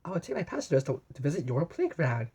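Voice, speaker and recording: A woman's voice: sits low, sing-song, quick pace, dark tone, husky, exaggerated voice. A woman voicing a jolly, boisterous dinosaur train conductor who makes announcements in a children's story. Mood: helpful.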